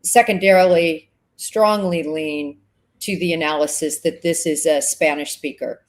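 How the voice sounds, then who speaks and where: A woman hosting an interview, responding to her guest in a deliberate way, slowing down to stress key words. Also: low-pitched, fairly even intonation. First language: English. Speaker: host. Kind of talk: conversational interview.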